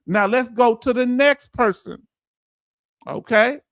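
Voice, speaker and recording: A man's voice: very high-pitched, takes it slowly, a bit bright, dry recording. A man who teaches a livestream audience in loose, folksy talk and quizzes viewers with repeated questions. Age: 50s. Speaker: veteran benefits streamer. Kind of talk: livestream lesson answering chat.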